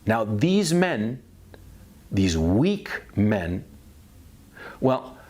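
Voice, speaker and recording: Blunt, animated man from Chile. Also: fairly low pitch, extremely slow. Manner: blunt, profane, repeats words when starting phrases. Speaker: commentator. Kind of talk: unscripted opinion monologue.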